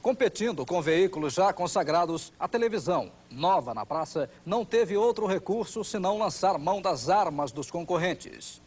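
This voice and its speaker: A man's voice: high voice, animated, moderate pace, hardly any pauses, a little mellow, husky. A Brazilian man reading scripted documentary narration. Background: background music.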